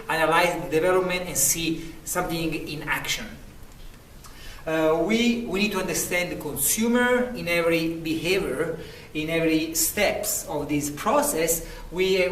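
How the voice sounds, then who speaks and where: A man with a thick Italian accent gives an earnest, self-promoting sample lecture, often restarting his phrases. His voice sits a bit high. The recording is roomy and echoey, picked up from some distance in a university room. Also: a bit bright, a little hiss or hum. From Italy. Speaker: lecturer applicant.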